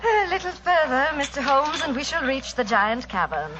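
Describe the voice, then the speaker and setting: An animated, gracious, sinister hostess, reverberant. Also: female voice, sits high. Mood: mysterious, knowing. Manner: formal, measured, theatrical. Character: gracious, smoothly sinister island hostess and schemer. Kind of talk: 1940s radio drama acting. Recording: noisy, reverberant 1940s radio recording.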